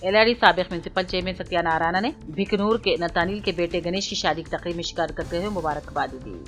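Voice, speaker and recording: A woman's voice: on the low side, quick pace. A woman news reader delivering a scripted bulletin in a formal manner, reading item after item. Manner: formal, reading out items one after another. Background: background music.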